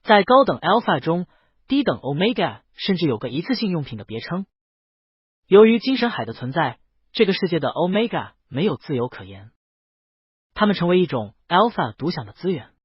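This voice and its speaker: A man reads a first-person story aloud in a fairly high, breathy voice, his pitch rising and falling with animated expression. The recording is dry and a little dull, like a modest home setup. He tells it as a steady, scripted audiobook narration. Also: normal speed, dry recording.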